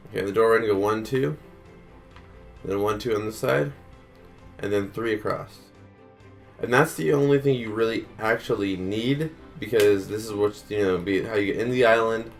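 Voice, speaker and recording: A man who is a little sick, casually walking through a building tutorial step by step and counting blocks aloud. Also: lowish voice. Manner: relaxed, counts blocks aloud, many fillers. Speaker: gaming tutorial host.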